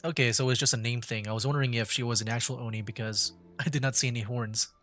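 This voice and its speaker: A man's voice: medium pitch, fairly even intonation, fast, fairly bright tone. Chatty male game streamer over music. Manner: chatty, reactive. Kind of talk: casual livestream game commentary.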